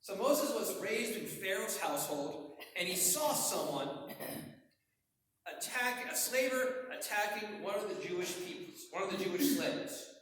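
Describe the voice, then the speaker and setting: A male pastor preaching a sermon in a church, conversational and wry, getting excited as he preaches. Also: high-pitched, measured pace, slight background noise. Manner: gets excited and hard to follow when preaching. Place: church sanctuary. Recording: echoey hall, voice from far off.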